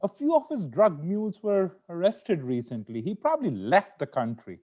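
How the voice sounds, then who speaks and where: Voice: mid-range voice, very animated, moderate pace, lo-fi sound. A performer in an amateur table read playing a stressed, sweet-toothed lawyer husband who bickers, wheedles and pleads like he is in court. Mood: frustrated, gloomy. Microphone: video call.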